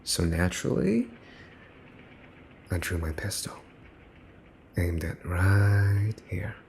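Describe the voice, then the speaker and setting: A man's voice: low voice, fairly even intonation, slow, gravelly, a little mellow, a little hiss or hum, heavily acted. A man playing a mafia boss's son in an ASMR roleplay, smug, teasing and menacing, with a mocking theatrical drawl. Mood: smug menace. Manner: mocking, theatrical drawl with mock-cute wording. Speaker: voice actor.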